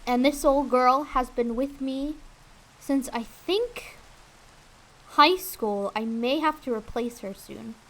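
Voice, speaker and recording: High-voiced young woman streaming casually. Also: slow pace, fairly bright tone. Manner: candid, rambling, swears casually. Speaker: streamer and aspiring voice actress. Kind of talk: casual livestream chat while gaming. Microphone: USB desk condenser mic.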